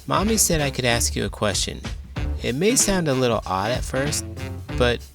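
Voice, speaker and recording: A man's voice: mid-range voice, very animated, moderate pace, gravelly, music in the background, voice pushed up. A man voicing a curious, innocent little boy who is eager and inquisitive, in a read-aloud storybook.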